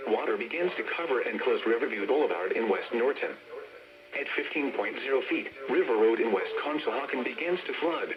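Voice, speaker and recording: A synthetic male text-to-speech voice reads scripted emergency bulletins as an automated weather radio announcer, formal and impersonal, in short segmented phrases. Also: medium pitch. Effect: weather radio broadcast. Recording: muffled lo-fi weather radio speaker.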